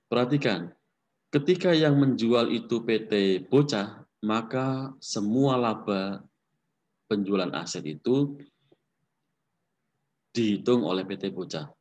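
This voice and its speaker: A man with an Indonesian accent giving an explanatory lecture, working through figures step by step and punctuating points with short check-in words. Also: lowish voice. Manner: didactic, often checking with a short tag word. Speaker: accounting lecturer.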